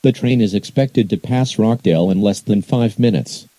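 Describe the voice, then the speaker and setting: A man's voice: fairly low pitch, normal speed, mellow, dry recording. A man reading scripted emergency alerts as an announcer, in a formal, impersonal, synthetic-sounding delivery.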